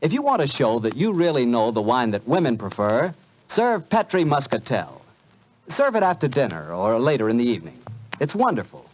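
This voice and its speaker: A male radio announcer in 1940s style delivers smooth sales patter. His medium-pitched voice rises and falls with lots of variety. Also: very animated, fairly quick, faint music behind, poor audio.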